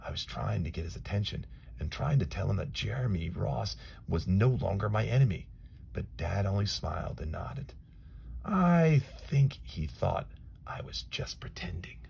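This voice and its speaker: A man reading a children's storybook aloud in a dramatic, expressive way, moving between hushed asides and emphatic phrases. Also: lowish voice, normal speed, gravelly, slight background noise. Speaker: storybook reader.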